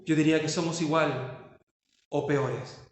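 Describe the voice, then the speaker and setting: Male pastor, high voice, emphatic sermon delivery, heavy room echo. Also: fairly even intonation, a little slow. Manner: preaching with rising emphasis and rhetorical questions.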